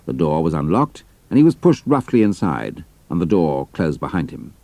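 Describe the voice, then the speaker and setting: A British man narrates an adventure story in a very deep voice. His pitch moves widely and expressively over a dark, mellow tone, recorded very dry. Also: sing-song, normal speed, dry recording.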